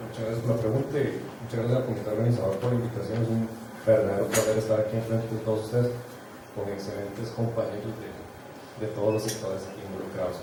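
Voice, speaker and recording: A man's voice: fairly low pitch, little pitch movement, quick pace, noisy background. Man speaking far off in an echoey hall. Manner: explanatory, self-correcting with fillers. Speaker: university researcher on a panel. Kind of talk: panel talk with statistics. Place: large hall.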